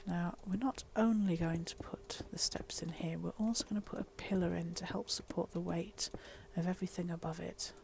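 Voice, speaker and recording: Soft, wheezy woman explaining a build. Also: mid-range voice, vocal fry, a little hiss or hum. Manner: soft, half-whispered explaining. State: wheezy from a chest infection. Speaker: Minecraft building tutor. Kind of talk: casual game tutorial voice-over.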